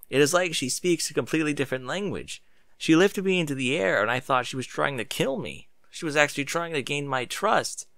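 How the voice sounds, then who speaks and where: A man's voice: a little high, creaky, a bit bright, dry recording. A man voicing a young male pony student who is anxious, self-doubting and downcast, wordy in a panicky way.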